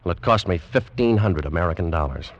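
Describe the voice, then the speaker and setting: An actor playing a determined male animal collector who gives brisk, dramatic first-person narration in a 1940s radio drama. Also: low voice, lively intonation. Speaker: narrator and lead. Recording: dull old radio recording with music.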